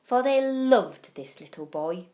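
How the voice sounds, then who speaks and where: A woman reading a children's bedtime story expressively, with deliberate phrasing and drawn-out key words. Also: a little high, lively intonation, mellow. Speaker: primary school teacher reading to pupils.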